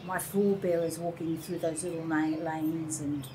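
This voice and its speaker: Lively Australian woman in her sixties. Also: deep voice, normal speed, background noise. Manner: animated storyteller, acts out quoted voices. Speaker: interview guest. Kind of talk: casual reminiscing conversation. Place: indoor room by large windows.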